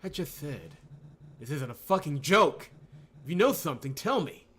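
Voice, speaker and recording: A man's voice: a little high, sing-song, moderate pace, fairly bright tone, voice pushed up, exaggerated voice. A man voicing an earnest, stubbornly heroic young amnesiac in a visual novel, emphatic and pleading. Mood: angry, desperate. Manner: emphatic, pleading, swearing when frustrated. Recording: clear background noise.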